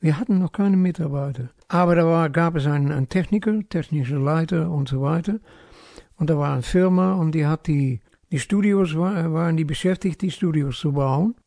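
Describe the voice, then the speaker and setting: An older man from the Netherlands with a Dutch accent, recalling events haltingly in non-native phrasing. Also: fairly high pitch, dark tone, dry recording, clean studio sound. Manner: halting, with broken-off words and non-native grammar. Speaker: radio consultant being interviewed. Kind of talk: spontaneous interview recollection.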